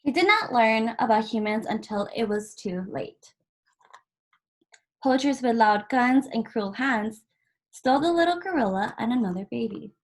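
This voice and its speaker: A woman with a fairly high voice reads a picture book aloud for children. She works through the story in measured, short phrases, leaving small pauses between them. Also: low-quality recording. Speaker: volunteer story reader.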